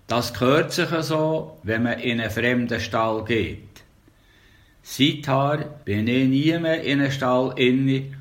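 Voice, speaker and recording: An older man from the Bernese Oberland in Switzerland, speaking with a Bernese German accent, calmly reading his memoirs and dialect tales as a storyteller. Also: medium pitch.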